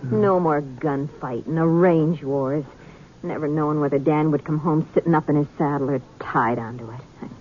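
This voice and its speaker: A woman's voice: low-pitched, moderate pace, natural voice. An actress playing a cheerful frontier wife and mother, effusive and homey, in 1950s radio western style. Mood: earnest. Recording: muffled, noisy old radio recording.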